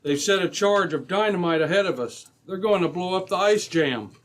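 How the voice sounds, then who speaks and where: A man's voice: high-pitched, normal speed, lightly acted. A man playing a brave, decisive Mountie sergeant in an old-time radio adventure, speaking with authority. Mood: alert, commanding. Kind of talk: re-created old-time radio drama acting.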